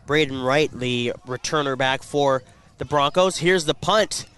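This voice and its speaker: A man calling high school football play-by-play for radio, congested from a cold and picking up energy during plays. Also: a little slow, clean tone. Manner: rising excitement on plays. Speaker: play-by-play announcer. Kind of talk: radio sports commentary.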